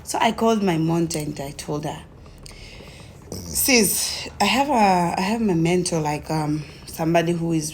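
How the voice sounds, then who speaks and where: Low-voiced Tanzanian woman telling her story. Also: a little hiss or hum. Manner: animated retelling, acts out quoted conversations. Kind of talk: casual storytelling to camera.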